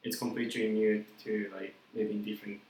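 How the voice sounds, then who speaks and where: A young man from Japan with a Japanese accent, speaking halting English and searching for words as an interview guest. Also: on the low side, flat delivery, a little mellow. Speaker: musician guest. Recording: echoey room, light noise.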